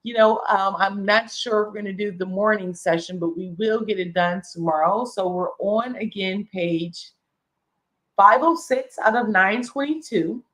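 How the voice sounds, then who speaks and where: A woman's voice: medium pitch, normal speed, fairly bright tone, rough recording. A middle-aged woman hosting a live reading, going through a list item by item with casual asides and small self-corrections. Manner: reads list items aloud, chatty asides, self-corrections. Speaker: host reading a document aloud.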